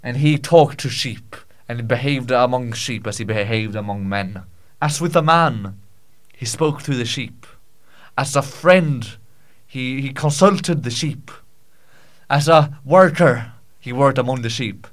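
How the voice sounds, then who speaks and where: A man's voice: medium pitch, a little slow, lightly acted. A man putting on a pompous, rambling Scottish storyteller. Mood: mock-solemn. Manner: mock-solemn, archaic phrasing, stumbling and repeating. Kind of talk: improvised comic monologue in character.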